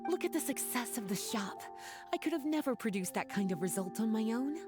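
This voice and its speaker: A woman's voice: a little high, expressive, brisk, music in the background, close to an everyday voice. A woman playing a teasing, languid adult librarian in a video game, with a coquettish manner. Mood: playful. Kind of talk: video game character acting.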